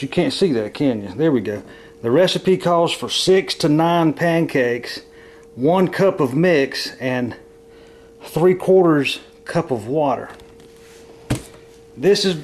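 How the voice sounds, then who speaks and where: Hoarse man chatting through a home demo. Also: a little high, a little hiss or hum. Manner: rambling, chatty, self-correcting. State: hoarse from bronchitis. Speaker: camping vlogger. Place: at home, indoors.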